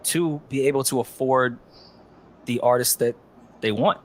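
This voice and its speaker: A man co-hosting a talk show, chatting and asking questions in a relaxed way. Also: medium pitch, measured pace, a little hiss or hum. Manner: questioning, conversational. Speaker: podcast co-host. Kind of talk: casual chat.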